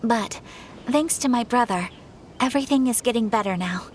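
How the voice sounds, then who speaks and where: A woman's voice: fairly high pitch, moderate pace, a little hiss or hum, close to an everyday voice. A woman playing a refined young noblewoman in a video game, speaking in a polite, composed and formal way. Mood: composed, earnest. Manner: poised, formal, courteous. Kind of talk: video game story dialogue.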